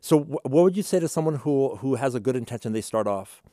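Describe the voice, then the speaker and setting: A man with a mid-pitched voice in a dry recording. He is an interviewer chatting informally, circling toward his questions with examples and little check-ins. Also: lively intonation, quick pace, mellow, no echo. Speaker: interviewer, host. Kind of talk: casual conversational interview.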